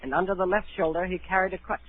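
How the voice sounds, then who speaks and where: A man's voice: high voice, fairly even intonation, quick pace, a little hiss or hum, lightly acted. A male actor playing an earnest adolescent cabin boy, telling his story wistfully in a 1930s radio drama. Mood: earnest recounting. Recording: narrow-band 1938 radio broadcast.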